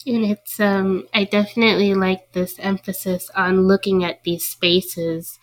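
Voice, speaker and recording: A woman's voice: medium pitch, level tone, measured pace, dry recording. A woman interviewer speaking conversationally in an academic discussion, putting thoughts and questions to a guest.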